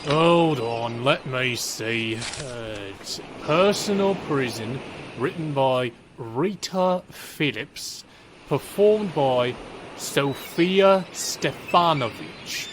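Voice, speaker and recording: A man's voice: mid-range voice, lively intonation, extremely slow, noisy background. A man playing a hesitant, comically dim treasure hunter's sidekick in an audio drama skit. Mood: puzzled. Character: slow-witted, dismayed treasure hunter sidekick.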